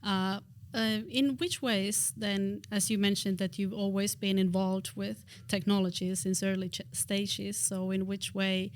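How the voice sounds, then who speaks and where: A woman's voice: medium pitch, little pitch movement, a little slow, no room sound. A woman hosting a podcast interview, speaking with many hesitant fillers. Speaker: podcast host.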